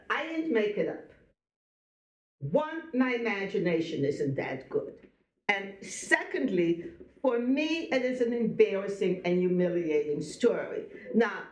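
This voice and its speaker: A woman's voice: mid-range voice, a little mellow. A woman in her late sixties giving a personal life-story talk to students, conversational and digressive, speaking directly to her listeners. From born in Belgium. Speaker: guest speaker, Holocaust survivor telling life story to students. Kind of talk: personal testimony talk to a school audience. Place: echoey room, live talk to a group. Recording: echoey room, dull muffled sound.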